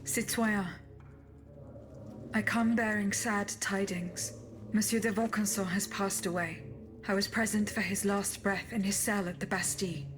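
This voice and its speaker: A woman's voice: mid-range voice, flat delivery, lightly acted. Game role: formal, composed machine bodyguard, female actor, mid-range voice over music. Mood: solemn. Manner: measured, formal. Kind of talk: dramatic video game acting. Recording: music behind the voice.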